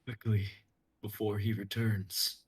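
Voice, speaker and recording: A man playing an earnest, brotherly mythic hero with a husky voice in a live script reading. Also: fairly low pitch, little pitch movement, slow. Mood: urgent.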